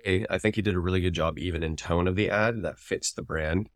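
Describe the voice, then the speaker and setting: Deep voiced guy co-hosting a podcast, talking through his take, dry recording. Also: brisk, no echo. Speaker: podcast co-host. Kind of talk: casual analytical discussion.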